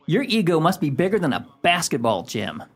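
A man's voice: a little high, wildly swooping pitch, a little fast, full character voice. An actor playing a surly, domineering man in a radio comedy, blunt and emphatic in his delivery. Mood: scornful, domineering. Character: surly, domineering man scolding the woman who adores him. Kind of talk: radio comedy play acting.

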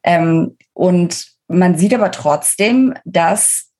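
A woman's voice: on the low side, slow pace, warm tone. Analytical woman explaining in interview. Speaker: interviewed guest, book author. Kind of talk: conversational expert interview.

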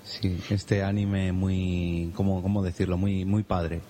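A man's voice: low voice, flat delivery, slow pace, dark tone. A man from hosting a casual podcast and introducing his companions. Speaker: podcast host. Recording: music behind the voice. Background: music.

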